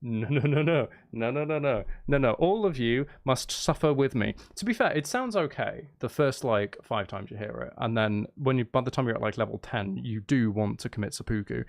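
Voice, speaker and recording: A man's voice: medium pitch, animated, moderate pace, gravelly, hardly any pauses. A man chatting casually to viewers on a livestream, rambling, repeating himself and breaking off mid-sentence in a jokey, self-deprecating way. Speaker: live streamer.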